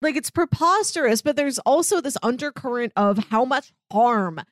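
Higher-voiced woman, chatty podcast talk. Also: lively intonation, moderate pace, dry recording, studio quality. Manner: chatty, peppers speech with "like". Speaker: podcast panelist. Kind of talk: casual animated-series discussion.

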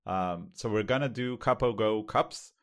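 A man from Israel with an Israeli accent, co-hosting a casual podcast and talking in a halting way with many fillers and restarts. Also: on the low side. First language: Hebrew. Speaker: podcast co-host.

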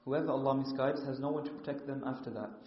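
A man's voice: mid-range voice, steady tone, quick pace. A man who chants verses in melodic recitation and then explains them in English as a teacher. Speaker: Quran teacher. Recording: echoey, dull, reverberant room.

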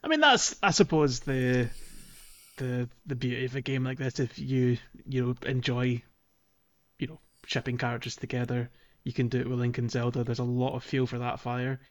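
A man's voice: mid-range voice, normal speed, lo-fi sound. A man co-hosting a podcast, chatting casually about a video game. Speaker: podcast co-host.

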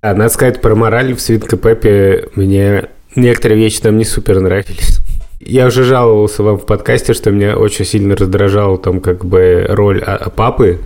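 A man's voice: lowish voice, steady tone, normal speed, darkish tone. A man hosting a podcast, talking casually and explaining things at length in a reflective way. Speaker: podcast host.

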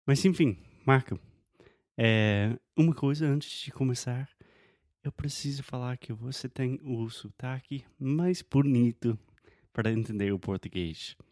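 A man's voice: mid-range voice, unhurried, darkish tone, dry recording, studio quality. An American man whose first language is English, hosting a podcast and speaking Portuguese as a learner, with restarts and questions about words. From United States. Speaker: podcast host. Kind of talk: casual conversation.

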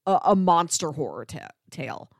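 A woman co-hosting a casual review podcast, speaking in a measured, precise way. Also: deep voice, lively intonation, dry recording, clean studio sound. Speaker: podcast co-host. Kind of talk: casual review show chat.

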